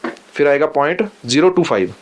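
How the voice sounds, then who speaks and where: A man's voice: on the high side, expressive, normal speed, a little hiss or hum. A man explaining things informally in a vlog chat, in a hands-on way, often checking that the listener follows. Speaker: walkie-talkie user explaining gear to the host.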